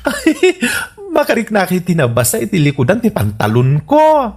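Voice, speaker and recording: A woman's voice: mid-range voice, huge pitch swings, moderate pace, darkish tone, husky, exaggerated voice. A woman with an Ilocano Filipino accent voicing a whining, playful son in a radio comedy drama.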